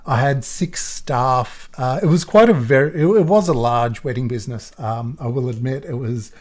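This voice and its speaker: A middle-aged Australian man with a croaky voice, a photographer chatting easily as a podcast guest and laughing often. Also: medium pitch, moderate pace, darkish tone. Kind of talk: casual podcast interview.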